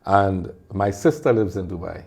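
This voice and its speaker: A man with a Trinidadian accent, talking as a guest in a casual interview and telling animated stories with frequent laughter. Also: low voice, normal speed, vocal fry, husky. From Trinidad and Tobago. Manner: animated storyteller, laughs often. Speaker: guest, music video producer and singer.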